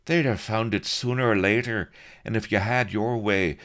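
A man voicing a cynical, wisecracking rogue who talks in a flippant, sarcastic way, his voice pushed high above his own. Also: normal speed, a bit bright, close to an everyday voice. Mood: exasperated.

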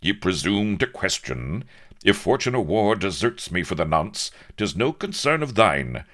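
A man's voice: deep voice, very animated, a little slow, a bit bright, voice pushed up, full character voice. A man voicing a young, heroic naval captain, pompous and noble, with stern, grandiloquent old-fashioned speech in a comic storybook reading. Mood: stern, haughty. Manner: grandiloquent mock-archaic speech, stern and cool.

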